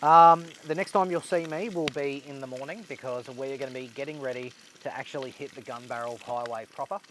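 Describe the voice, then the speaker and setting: A man with an Australian accent vlogging casually at an outdoor bush campsite while he cooks, rambling and relaxed, a little snuffly from a blocked nose. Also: medium pitch, a little fast, slight background noise. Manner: relaxed, rambling, laid-back slang. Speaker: overlanding vlogger. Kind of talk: casual outdoor vlog narration while cooking.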